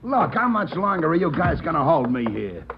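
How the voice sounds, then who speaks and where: Brief: shifty crook under questioning, lively swooping voice, muffled old radio sound. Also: male voice, a little high, normal speed, a little hiss or hum. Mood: defensive. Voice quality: wheedling, streetwise talk. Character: shifty young male criminal suspect, defensive. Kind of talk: 1940s radio drama acting. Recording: dull old radio broadcast.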